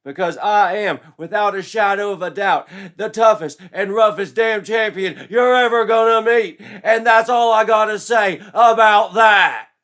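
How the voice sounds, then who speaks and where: A man's voice: sits high, unhurried, few pauses, fairly bright tone, clean tone, voice pushed up. A man voicing a brash young male wrestler suitor who talks in bombastic promo style. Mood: bombastic bravado.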